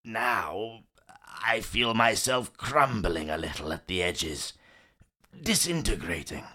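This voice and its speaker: An actor playing a crumbling old stone gargoyle in an audio play, grand and declamatory, boastful and grumbling. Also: male voice, lowish voice, lively intonation, slow pace, sharp tone, full character voice. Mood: aggrieved. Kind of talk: theatrical audio play acting.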